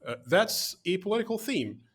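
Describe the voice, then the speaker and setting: A man's voice: moderate pace, slightly rough. A man co-hosting a casual video chat about games, talking at length and acting out quotes in a raised voice. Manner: animated, acts out quotes in a higher voice. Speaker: podcast co-host.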